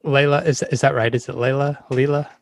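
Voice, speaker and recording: A man's voice: medium pitch, flat delivery, a little fast, creaky, no echo. A man hosting a podcast, asking questions in a casual interview style.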